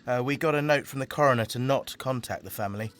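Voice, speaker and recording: A man's voice: medium pitch, fast, a bit bright, close to an everyday voice. An actor playing a cheerful, kindly male council funeral officer in an audio drama, friendly and slightly hesitant. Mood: earnest, businesslike. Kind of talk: naturalistic audio drama acting.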